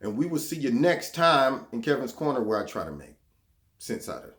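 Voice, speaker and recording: A man's voice: mid-range voice, big pitch swings, moderate pace, a bit bright. A man hosting his own political commentary vlog, talking sarcastically and repeating words when he gets heated. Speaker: vlog host.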